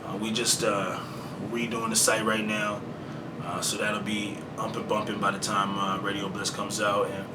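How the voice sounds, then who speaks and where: A laid-back man who talks in loose, profane slang. He sounds set back from the microphone in an echoey room. A loud steady hum runs under his voice. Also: fairly low pitch, normal speed. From Canada. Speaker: rapper guest. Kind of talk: casual interview talk. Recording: roomy, distant mic with loud background hum.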